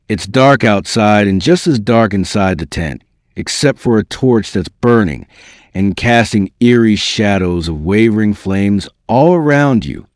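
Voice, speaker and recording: A man's voice: lowish voice, darkish tone, dry recording, studio quality. A man reading aloud as a narrator, in a dramatic scripted audiobook style. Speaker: narrator reading a book excerpt.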